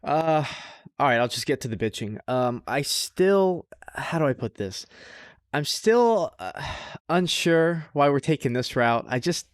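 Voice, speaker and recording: A man speaks as a podcast co-host in a dry, close-sounding space. His voice sits at an ordinary pitch, and he chats casually, repeating short phrases to press his point. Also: very animated, unhurried, smooth, no echo. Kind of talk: casual anime review chat.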